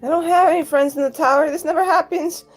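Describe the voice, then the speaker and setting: Higher-pitched woman over clear music. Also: fairly even intonation, quick pace. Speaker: tabletop roleplaying player. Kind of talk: casual in-character game chat.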